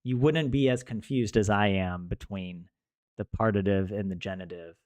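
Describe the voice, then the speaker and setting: A man's voice: fairly low pitch, normal speed, a little mellow, no echo. A young man chatting casually with viewers as he studies, joking and laughing with lots of rambling restarts. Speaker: language learner streaming to viewers. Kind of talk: casual chat with viewers while studying.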